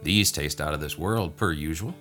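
A man's voice: rumbling low, moderate pace, pushed and bright, soft background music. A man voicing a weary, put-upon middle-aged son in a storybook reading, resigned and dry. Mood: dry, pleasant. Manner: mumbling, resigned, dryly sarcastic.

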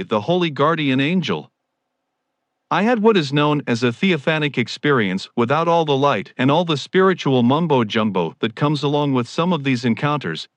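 A man's voice: mid-range voice, no echo. A man giving a scripted motivational monologue as a self-help speaker.

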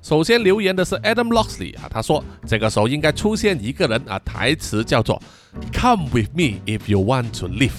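Animated man hosting a casual podcast. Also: medium pitch, brisk, soft background music. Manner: chuckles often, frequent sentence-final particles. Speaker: podcast host. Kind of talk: casual podcast chat reading and answering listener comments.

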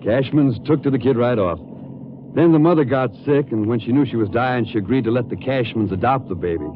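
A man's voice: medium pitch, brisk, natural voice. A man playing an earnest, folksy local police detective in a 1950s radio drama, conversational and businesslike. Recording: tinny, faintly noisy vintage radio.

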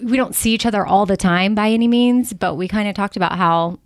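A woman in her forties hosting a podcast, chatting casually, laughing often and slowing down when she reads something aloud. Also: medium pitch, dry recording, clean studio sound. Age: 40s. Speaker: podcast host.

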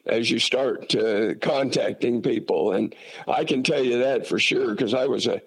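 A man's voice: medium pitch, unhurried, breathy edge, low-quality recording. Rambling folksy man from Utah. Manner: folksy, rambling, many fillers and restarts. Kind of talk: casual conversation.

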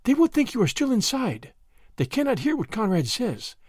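Male narrator as a young girl, high-pitched, quick-witted, urgent, secretive, storybook character. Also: wildly swooping pitch, breathy edge, dry recording, clean studio sound, full character voice. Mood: secretive, brisk. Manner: hushed urgency, rising to theatrical French exclamations.

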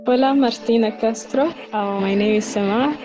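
A woman from Sudan records an eager voice message for a podcast, pausing with fillers as she speaks. Her voice sits a little high, and clear music plays behind it. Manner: eager, hesitant, with many fillers. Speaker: listener calling in.

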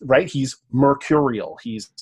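A man's voice: medium pitch, slow pace, husky. A man with a Canadian accent, speaking as a podcast guest in a casual, lecture-like discussion, thinking aloud, often checking in with "right?" and now and then swearing.

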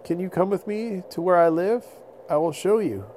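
Man voicing an eager, high-voiced young woolly mammoth. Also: normal speed, darkish tone, a little hiss or hum, lightly acted, low-quality recording. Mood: amazed, welcoming. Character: young friendly woolly mammoth, excitable, full of wonder.

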